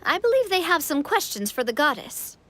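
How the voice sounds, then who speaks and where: A woman's voice: sits high, big pitch swings, a little fast, background noise. A woman voicing a cheerful, friendly young storybook king in a game. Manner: upbeat, friendly, reassuring. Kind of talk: game voice acting.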